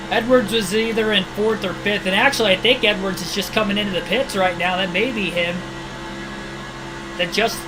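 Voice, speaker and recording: A man's voice: high-pitched, normal speed, background noise. Man calling a racing game livestream. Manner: steady race-caller delivery, hedging guesses. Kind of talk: play-by-play commentary. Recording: video game music behind. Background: game music.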